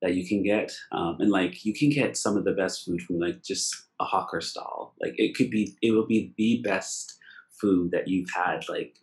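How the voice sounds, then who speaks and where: A man's voice: sits low, moderate pace. A man with a Canadian accent hosting a casual interview, talking in an enthusiastic, effusive, rambling way. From Nova Scotia, Canada. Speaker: interview host.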